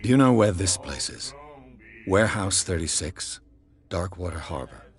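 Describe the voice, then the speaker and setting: A persistent investigator from the mainland, polite but pressing. His voice sits very low and stays measured as he asks one question after another. He sounds like a character in a narrative video game. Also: lively intonation. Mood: probing. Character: inquisitive male investigator from the mainland.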